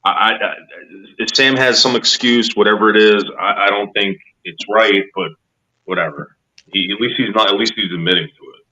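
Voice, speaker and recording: Deep-voiced man stammering on a livestream. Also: normal speed, pushed and bright. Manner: stammering, repeats words, profane. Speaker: livestream guest. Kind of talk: casual livestream chat. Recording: dull lo-fi call audio.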